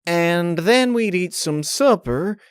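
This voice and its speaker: A man's voice: high voice, animated, takes it slowly, clean tone, dry recording, studio quality, pitched above the performer's own voice. A man voicing a nervous, evasive female farm pony in a story, with a folksy, stammering way of talking. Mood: nervous, forced cheer.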